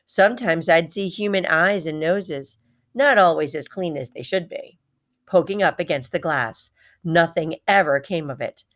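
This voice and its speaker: A small male pet hamster tells his own storybook tale, acted out by a woman reader. He is bright, proud and easily heartbroken. The delivery is dramatic, in a slightly low register, with words repeated for emphasis. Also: normal speed, close to an everyday voice. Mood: wistful, eager. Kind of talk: children's storybook read-aloud in first person.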